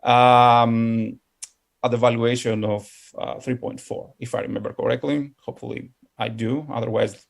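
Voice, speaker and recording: A man with a Greek accent presents informally at a tech meetup. His mid-pitched voice has a noticeable gravelly fry. He talks haltingly, leaning heavily on filler words as he explains things. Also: little pitch movement. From Greece. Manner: hesitant, halting delivery with constant "like" and "um". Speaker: guest speaker, tech company product professional.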